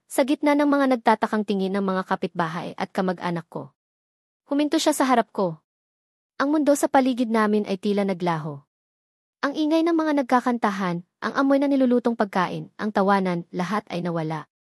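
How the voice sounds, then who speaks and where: A woman's voice: medium pitch, no echo, studio quality. A woman reading a scripted, dramatic first-person story. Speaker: narrator.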